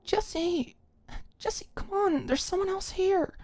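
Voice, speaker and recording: A shy young woman in an audio romance, acted by a female narrator. Her voice is pitched high and trips over itself, embarrassed and pleading, as she tries to explain herself. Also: measured pace, a little mellow. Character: flustered, shy, apologetic young woman caught kissing.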